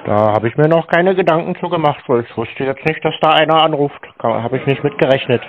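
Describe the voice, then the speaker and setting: A man's voice: fairly high pitch, a little fast. A man on a conversational phone call, sounding naive and asking insistent questions. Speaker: prank caller to scam call centres. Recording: slightly dull lo-fi call audio.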